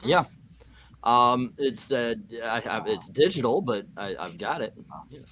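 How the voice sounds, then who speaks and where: A man from the United States hosting a casual comic book read-along stream, rambling and joking in a halting way. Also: mid-range voice, expressive, a crowd in the background, poor audio. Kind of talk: casual rambling livestream chat.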